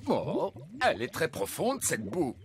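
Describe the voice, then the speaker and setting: Vieil elfe pompeux, très expressif. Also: male voice, fairly high pitch, wildly swooping pitch, measured pace, a crowd in the background. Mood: exasperated. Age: older. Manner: pompous, fussy. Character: stubborn, dignified old male elf sage. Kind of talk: animated cartoon dialogue. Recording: constant cartoon music behind. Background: music.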